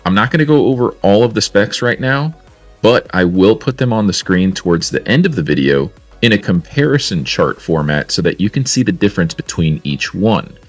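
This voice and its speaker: A man's voice: fairly low pitch, moderate pace, faint music behind. A man, presenting a scripted product video in an upbeat, salesy way. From Florida, USA. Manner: upbeat, salesy, lively intonation. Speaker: mobility scooter company presenter.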